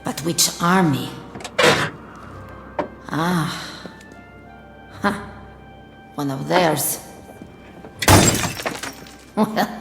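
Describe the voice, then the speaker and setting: Echoey, low-voiced weary woman soldier. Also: vocal fry, full character voice. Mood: wary, scornful. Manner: talking to herself, musing in fragments. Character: weary hungry woman soldier, bitter, scornful lone war survivor. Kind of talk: dramatic audio drama monologue. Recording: echoey, long reverb, music underneath.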